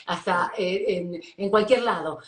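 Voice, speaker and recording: A woman from Buenos Aires with an Argentine accent, a psychologist explaining ideas enthusiastically in an interview, pausing with fillers. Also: lowish voice, roomy sound. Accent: Argentine Spanish, Buenos Aires. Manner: warm, enthusiastic, thoughtful with many fillers. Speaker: psychologist guest. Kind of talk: live-stream interview.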